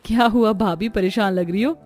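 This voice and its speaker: A woman's voice: mid-range voice, normal speed, a little mellow, soft background music, lightly acted. A woman voicing a helpful, breezy young sister-in-law in a cartoon family story, chirpy and familiar. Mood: reassuring.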